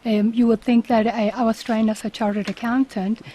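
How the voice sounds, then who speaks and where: A woman from Uruguay speaks with a clear Spanish accent, her voice pitched a little high and breathy. She is a radio interview guest and talks with eager, emphatic conviction about her work. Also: little pitch movement, a little fast, warm tone. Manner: passionate, emphatic. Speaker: guest, company CEO.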